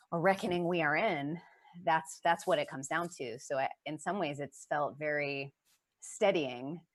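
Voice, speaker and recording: A woman speaking earnestly and emphatically as a playwright in a reflective panel conversation. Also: low voice.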